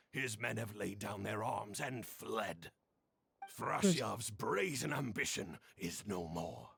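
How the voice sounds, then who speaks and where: A man's voice: mid-range voice, vocal fry, fairly bright tone, breathy edge, full character voice. An actor playing a loyal, solemn army commander in a game's stage play, declaiming formally and deferentially to his king. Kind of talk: theatrical stage-play declamation in a video game.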